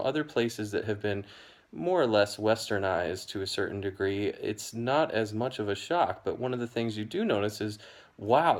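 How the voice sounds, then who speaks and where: A young American man explaining a topic to camera in a measured, hedging way, with frequent ums and "you know". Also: fairly low pitch. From United States. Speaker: educational video host.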